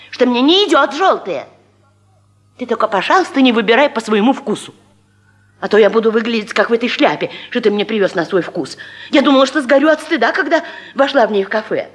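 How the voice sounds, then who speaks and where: An actress playing a fretful, vain wife in a radio comedy sketch, pestering, pouty and sorry for herself. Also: female voice, on the high side, slightly rough. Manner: pestering, pouty, self-pitying. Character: fretful, vain wife needling husband with morbid questions.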